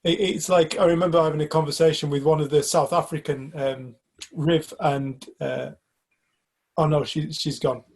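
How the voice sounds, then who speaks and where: A man's voice: a little high, fairly even intonation, normal speed, a little mellow, husky. A man from the UK in a casual group discussion, talking reflectively and hesitantly, often restarting his sentences. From United Kingdom. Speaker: community group member.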